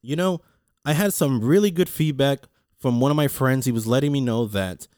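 A man with a deep voice speaks to his podcast listeners in an earnest, motivational way. He rambles, repeats words and restarts his sentences as he thinks aloud. His pitch sits in an ordinary middle range, and the dry recording has little room sound. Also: moderate pace, darkish tone, no room sound. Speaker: podcast host. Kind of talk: casual reflective monologue.

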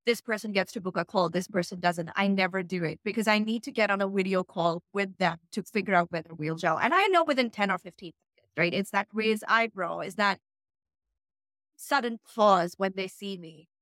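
A woman from Pakistan whose first language is not English talks in a frank, emphatic way as an interview guest. Her pitch sits in the middle of the female range. The sound is dry and close, with little room echo. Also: brisk. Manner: frank, energetic, emphatic advice. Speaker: guest, freelance writer. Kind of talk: casual interview talk.

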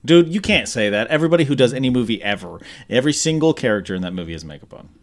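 A man's voice: medium pitch, animated, rapid-fire. A man around thirty co-hosting a podcast, chatting casually with a friend in a rambling, sweary way. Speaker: podcast co-host. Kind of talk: casual chat between friends.

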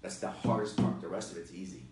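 Man in his forties, very low voice, emphatic and energetic, distant mic in a roomy space. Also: fast talker. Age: 40s. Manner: energetic, emphatic, many fillers. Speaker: fitness guest expert. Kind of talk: casual podcast chat. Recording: echoey room, distant mic, faint noise.

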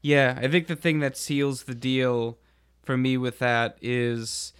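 Smooth, even-toned man on a podcast. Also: mid-range voice, takes it slowly, no echo, studio quality. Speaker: podcast panelist. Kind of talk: casual podcast discussion.

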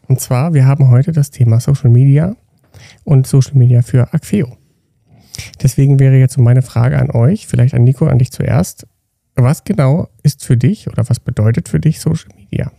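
Gravelly man hosting a podcast. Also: mid-range voice, moderate pace, warm tone, no room sound, clean studio sound. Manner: chatty, loose, with restarts. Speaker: podcast host.

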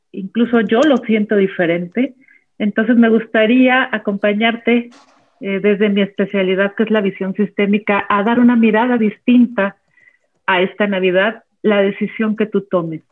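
A woman speaking in an earnest, calm, reflective way as a guest with a therapy background. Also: medium pitch, moderate pace. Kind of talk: reflective talk. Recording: tinny phone line.

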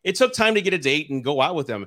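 A man's voice: a little high, lively intonation, quick pace, sharp tone. Chatty man in his forties hosting. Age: 40s. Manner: chatty, laughs easily, repeats himself. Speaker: podcast host. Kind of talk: casual live-stream interview.

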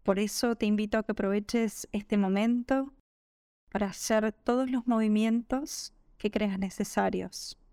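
A woman with a Rioplatense Spanish accent narrating a guided meditation in a gentle, calming, unhurried manner. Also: mid-range voice, clear, resonant tone, no echo, studio quality. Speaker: yoga nidra meditation guide.

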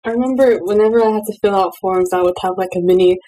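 A young woman in a casual group chat, telling stories in an animated way. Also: mid-range voice, fast, a crowd in the background, rough recording.

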